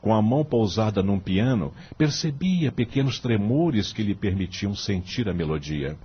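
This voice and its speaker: Man acting as deep-voiced, animated Brazilian judge. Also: moderate pace, husky. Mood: persuasive, instructive. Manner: formal, rhetorical, emphatic, didactic. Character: eloquent, principled older male judge and mentor. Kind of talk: Brazilian audio drama with oratorical speeches. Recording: muffled low-fidelity audio with music behind.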